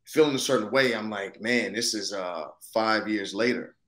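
Actor, male, thirties, American. Lowish voice, informal, searching delivery, recorded in a reverberant room. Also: normal speed, fairly bright tone. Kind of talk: casual conversation. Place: indoors, on a video call. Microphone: video call. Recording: echoey room on video call.

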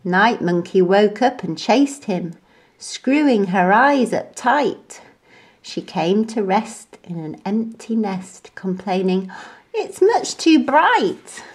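A woman reading a children's bedtime story aloud in an expressive, deliberate storyteller's manner. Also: mid-range voice. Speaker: storybook reader.

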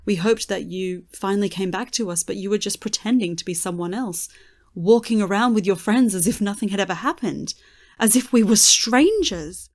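A woman's voice: medium pitch, moderate pace, darkish tone, lightly acted. A woman acting as a solemn funfair fortune-teller in an audio drama, speaking in an earnest, dramatic storytelling manner. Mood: sorrowful, reproachful.

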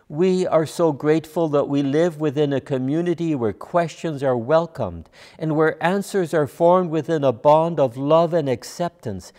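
An older man, a minister, leads a pastoral prayer from a church pulpit in measured, solemn phrases. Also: a little high, darkish tone. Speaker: minister leading worship.

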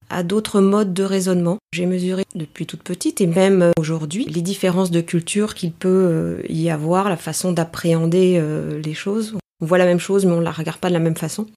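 A middle-aged woman, a coach, answering interview questions in a calm, measured way with frequent hesitations. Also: fairly low pitch. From France. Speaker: coach, guest. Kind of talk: podcast interview.

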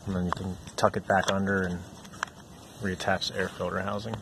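Plainspoken low-voiced man explaining a repair. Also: level tone, brisk, a bit bright, a little hiss or hum, poor audio. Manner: plainspoken, practical, with filler "uh"s. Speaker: DIY repair channel host. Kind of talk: step-by-step repair tutorial.

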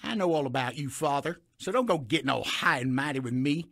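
An actor playing a brash, mocking Western saloon owner in a video game, taunting and sardonic. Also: male voice, medium pitch, expressive, fairly quick, fairly bright tone, dry recording, studio quality. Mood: mocking, defiant. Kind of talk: dramatic video game acting.

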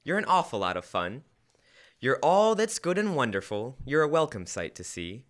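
Fussy, earnest husband played by a young male actor, classic radio comedy, hugely animated pitch, forward bright tone, hesitant timing. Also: normal speed. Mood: reciting, deadpan. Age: teens to young adult. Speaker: student actor. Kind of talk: old-time radio comedy acting. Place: school library, indoors, live stage reading. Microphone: stand microphone.

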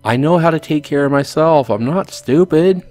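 A man's voice: a little high, moderate pace, darkish tone, faint music behind, pitched above the performer's own voice, lightly acted. A man voicing an exasperated sixteen-year-old schoolboy, the hero of an anime visual novel, defensive and sardonic.

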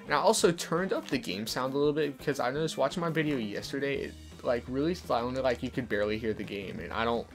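Young man with a gravelly voice. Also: fast talker, hardly any pauses. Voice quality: deeper voice. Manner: casual, chatty, explaining game tips. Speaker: gameplay commentator. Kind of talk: live gameplay commentary. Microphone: desk condenser mic. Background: soft background music.

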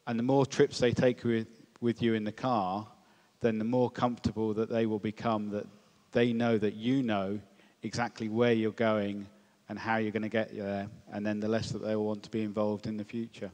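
Male panelist, lowish voice, measured storyteller, echoing hall. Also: level tone, a little mellow. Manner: measured, anecdotal. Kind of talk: conference panel discussion. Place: large hall stage. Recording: long hall reverb.

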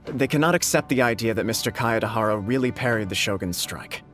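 An actor playing a diplomatic military government official in an animated game, speaking formally, deliberately and respectfully. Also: male voice, on the low side. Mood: explanatory. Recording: soft music behind the voice.

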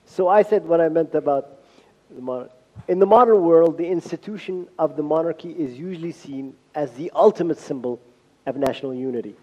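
A middle-aged man giving a formal university lecture from a podium, speaking in measured phrases with pauses. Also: on the high side, warm tone. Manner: measured, pausing for interpretation. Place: large lecture hall. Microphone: podium mic. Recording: lo-fi hall recording with long reverb.

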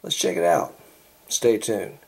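A man hosting a casual hands-on product review, talking in a folksy, informal, halting way. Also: darkish tone, poor audio. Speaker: host of a product review video channel. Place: at a tabletop, later out in a backyard. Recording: clear background noise, home camera recording.

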